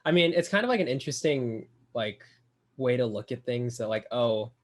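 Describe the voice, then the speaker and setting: A man's voice: medium pitch, lively intonation, normal speed. A young male college student on a podcast, rambling and leaning heavily on "like" while he thinks aloud. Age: young adult. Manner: rambling, heavy use of "like". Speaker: college student, club officer. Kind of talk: casual podcast chat.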